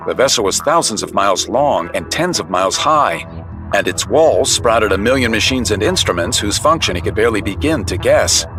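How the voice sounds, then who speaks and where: A man's voice: on the low side, lively intonation, moderate pace. A man delivering dramatic scripted narration of a superhero comic story. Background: prominent music and effects.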